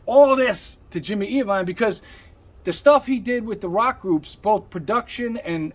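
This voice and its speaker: A man speaks in a high, rough-edged husky voice that leaps up and down with emphasis. He rambles casually like a rapper telling his own story, repeating himself and peppering it with street slang and curses. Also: a bit bright, poor audio. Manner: emphatic, repetitive, streetwise slangy talk with frequent swearing. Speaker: rapper, interview guest.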